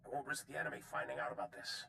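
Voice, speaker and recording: An actor playing a secretive military general in a video game, clipped and guarded. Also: male voice, mid-range voice, fairly even intonation, very fast, gravelly, bright, forceful tone, a little hiss or hum, exaggerated voice.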